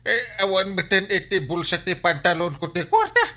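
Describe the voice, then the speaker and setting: An actor playing a bumbling, hard-drinking husband and village captain in an Ilocano radio comedy, stammering and whining with an Ilocano accent. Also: male voice, high-pitched, huge pitch swings, fairly quick, sharp tone, full character voice. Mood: panicked. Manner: whiny, stammering, wailing. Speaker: lead comic actor in a radio drama.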